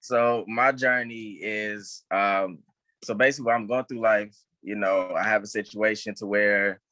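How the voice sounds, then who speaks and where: A young man with a bright, forward voice that sits a little low. He chats casually as an actor being interviewed. The sound is close and dry, with hardly any room in it. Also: steady tone, measured pace, dry recording. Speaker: stage actor, interview guest. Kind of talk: casual chat.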